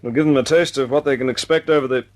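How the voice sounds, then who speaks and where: An actor playing an earnest young bomber squadron commander in an old radio drama, clipped and direct. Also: male voice, medium pitch, fairly even intonation, quick pace, natural voice. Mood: commanding. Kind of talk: 1940s radio acting.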